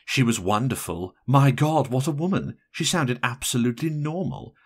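A man's voice: medium pitch, animated, normal speed, slightly rough, pitched above the performer's own voice. A man voicing a brash, irritable married woman in an audiobook, blunt, emphatic and sarcastic. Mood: weary, flippant. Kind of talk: audiobook character voice.

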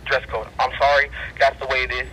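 A man's voice: medium pitch, flat delivery, brisk, pushed and bright, slight background noise, low-quality recording. An American man with a blunt, bossy manner, speaking over a walkie-talkie as a store boss. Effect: walkie-talkie radio.

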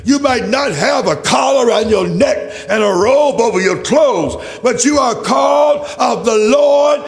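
High-pitched, impassioned male preacher in echoing hall. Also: gravelly. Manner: declamatory, emphatic, repetitive rhetorical phrasing. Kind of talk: impassioned church sermon. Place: large church. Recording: reverberant hall, faint music.

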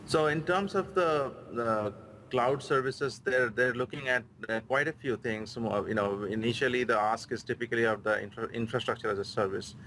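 A man answers an interview question haltingly, restarting his phrases often. His voice is bright and crisp. A faint hum runs underneath. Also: mid-range voice, poor audio. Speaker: chief architect.

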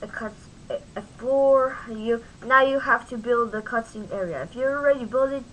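A woman giving a casual software tutorial in an informal, halting way, often interrupting and talking to herself. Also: on the high side, highs cut off, slight background noise. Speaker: tutorial host. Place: at home, at a computer.

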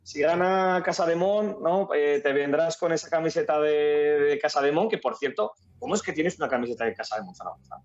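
Bright-voiced podcast host man. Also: on the high side, fairly quick, few pauses. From Spain. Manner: friendly, introducing guests and asking questions. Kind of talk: hosting a live fan podcast. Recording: slightly dull, lo-fi call audio.

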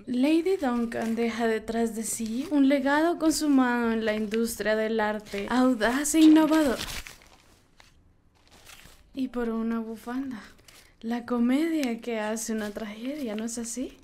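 A woman's voice: a little high, a little slow, a little mellow, slight background noise, close to an everyday voice. Woman as an elegant, languid lady cat. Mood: wistful. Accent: Latin American. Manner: languid, wry. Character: elegant, wry, melancholy female cat club owner. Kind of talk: animated cartoon fandub.

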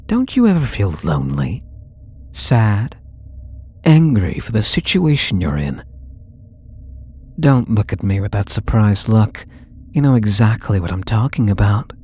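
A man's voice: lowish voice, sing-song, a little slow, pauses often, dark tone, slightly rough, full character voice. A young man playing a possessive, lovesick stalker under interrogation in an ASMR audio roleplay, coy, pleading and theatrical, drawing out his words. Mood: challenging, intense. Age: young adult.

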